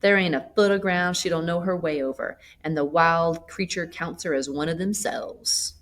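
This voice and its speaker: A woman's voice: on the low side, normal speed, lightly acted. A woman voicing a chatty, hospitable old country grandmother in a storybook, with a folksy, gossipy manner. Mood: affectionate, chatty. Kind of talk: storybook character voice.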